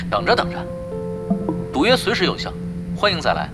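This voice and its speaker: Wry veteran gamer team leader, lively intonation. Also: male voice, fairly high pitch, a little slow. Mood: smugly teasing. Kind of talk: Chinese audio drama acting. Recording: loud music bed behind the voice.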